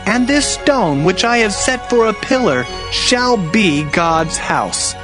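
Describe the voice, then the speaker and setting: A man's voice: sits high, animated, takes it slowly. A man playing an earnest young biblical traveler, declaiming in a dramatized scripture reading. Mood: earnest vow. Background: music.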